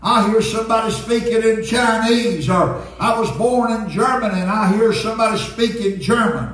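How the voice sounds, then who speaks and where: Echoey, high-pitched elderly preacher man. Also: normal speed, fairly bright tone. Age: 70s. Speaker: pastor. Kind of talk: Pentecostal sermon, preaching and reading aloud. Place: church sanctuary, indoors at a pulpit. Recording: very echoey church sanctuary, distant sound.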